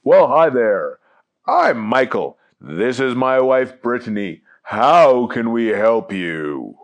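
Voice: medium pitch, big pitch swings, slow pace. A storyteller voicing a friendly, courteous married man on a yacht. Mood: friendly, polite.

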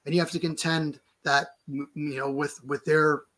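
A hoarse man, having lost his voice, explains a legal challenge fast and insistently on a video call, restarting words often. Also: a little high, level tone, a bit bright. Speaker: lawyer guest.

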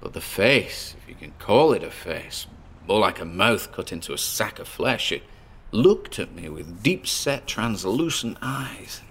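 An actor playing a nervous, driven paranormal investigator who records himself alone in an audio drama, talking haltingly to his listeners in an apprehensive, gravelly voice. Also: fairly low pitch, sing-song, fairly bright tone, a little hiss or hum, exaggerated voice. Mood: shaken, awed.

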